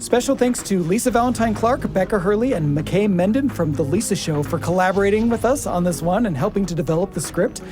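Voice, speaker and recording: A woman speaks as a podcast host in a medium-pitched voice. She shifts between conversational talk and scripted narration, with soft music faintly behind her. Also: animated, brisk, hardly any pauses.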